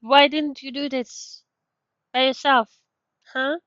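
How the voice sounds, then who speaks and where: A woman giving casual live game commentary as a streamer, in halting, short, non-native English remarks. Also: high voice, fairly even intonation, a bit bright, no echo, muffled recording.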